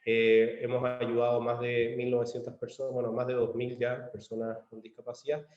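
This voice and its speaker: A man with a Chilean accent giving a measured conference presentation over a video call, with frequent fillers and restarts. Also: mid-range voice, monotone, reverberant, highs cut off. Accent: Chilean Spanish. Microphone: computer mic on a video call.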